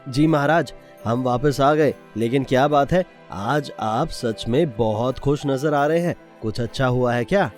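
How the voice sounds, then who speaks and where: A man's voice: mid-range voice, a little slow, darkish tone, faint music behind, lightly acted. A man playing a jealous, scheming younger prince with a formal, calculating manner in a cartoon story. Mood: curious.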